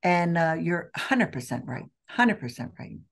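Middle-aged woman, low gravelly voice, slow and deliberate, video call from an office. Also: very animated. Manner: earnest, slow and deliberate with many restarts. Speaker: trade show CEO, guest. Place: office with windows. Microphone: video call webcam.

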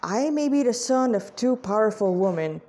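A woman's voice: fairly high pitch, expressive, normal speed, mellow, lightly acted. A woman reading the inner grumbles of a weary, reluctant plant-like man in a story. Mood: grumbling.

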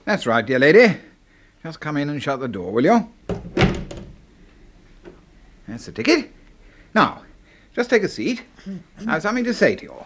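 An actor playing a courteous, middle-aged British retired brigadier in a 1970s radio drama, polite and avuncular. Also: male voice, a little high, very animated, a little fast, pauses often, fairly bright tone, a little hiss or hum. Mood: genial, brisk. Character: courteous, genial old-school retired brigadier, boss.

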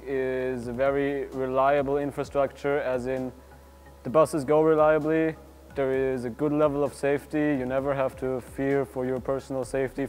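A young man with an Austrian German accent, speaking in a measured, articulate way in a documentary interview indoors. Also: medium pitch, a little mellow. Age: young adult. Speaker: exchange student. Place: indoors by a large window.

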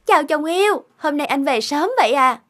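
A woman's voice: high-pitched, lively intonation, brisk, a bit bright. A woman voicing a sweet, evasive young wife in a cartoon. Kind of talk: Vietnamese cartoon dubbing.

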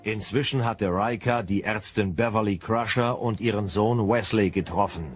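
An actor as a composed storyteller, giving scripted narration in a German audio drama. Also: male voice, on the low side, normal speed, over music, close to an everyday voice.

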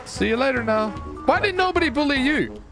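A man bantering and commentating casually in a game, playful, teasing and excitable, as host of a gaming video. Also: very high voice, fairly quick, gravelly. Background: game music and vehicle sounds.

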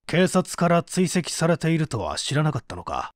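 Man acting stern male prosecutor, formal probing questions, animated pitch, dry close recording, radio mystery drama. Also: moderate pace, no room sound. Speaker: voice actor reading a story.

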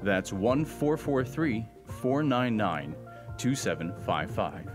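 A man reading a scripted station break announcement, measured, going through phone and email details. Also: fairly low pitch, very animated. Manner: measured, reads contact details. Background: music.

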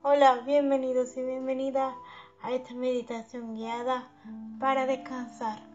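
A woman hosting a guided meditation for sleep, speaking in a soothing, unhurried, affectionate manner. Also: high-pitched, little pitch movement. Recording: dull lo-fi sound under background music.

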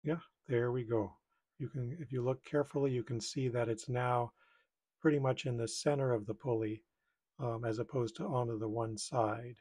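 Middle-aged male DIY presenter, husky and relaxed, tentative thinking-aloud delivery for hands-on tutorials. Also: medium pitch, moderate pace. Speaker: repair video maker. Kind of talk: casual hands-on tutorial commentary.

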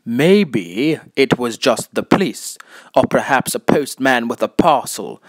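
A man playing a wry, taunting narrator who is a voice in someone's head, delivering deliberate scripted dramatic narration. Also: medium pitch, natural voice. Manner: wry, deliberate, mocking storytelling.

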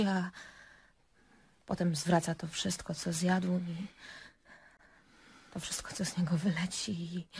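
An actress playing a nervous, bitter murder suspect in a radio drama, speaking in a halting, defensive and emotional way. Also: female voice, lowish voice, darkish tone. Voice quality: husky, breathy. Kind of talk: Polish radio drama acting.

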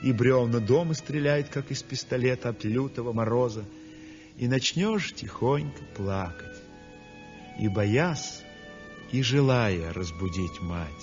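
An actor playing a weak, convalescent young male painter who narrates his story in a 1960s radio drama, speaking softly and breathily. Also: mid-range voice, animated, measured pace, faint music behind, close to an everyday voice. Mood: tender. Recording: dull old radio recording with long reverb.

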